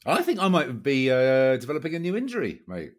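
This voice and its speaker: A man co-hosting a podcast in rambling, jokey chat, his voice a little croaky. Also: medium pitch, huge pitch swings, fairly quick. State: slightly croaky voice. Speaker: podcast co-host. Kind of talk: casual comic chat.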